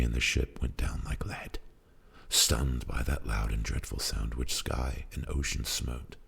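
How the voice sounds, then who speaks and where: A man giving a theatrical, solemn and deliberate dramatic recitation of a poem, with music. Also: a bass voice, creaky, slightly rough. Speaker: poetry reader. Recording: music played behind the voice.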